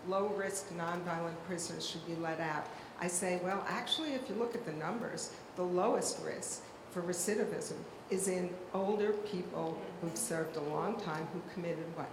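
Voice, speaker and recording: A woman's voice: fairly low pitch, moderate pace, few pauses, background noise. A woman in her sixties speaking passionately and emphatically as a panelist at a public talk. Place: large hall at a public event. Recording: echoey hall, live audience ambience.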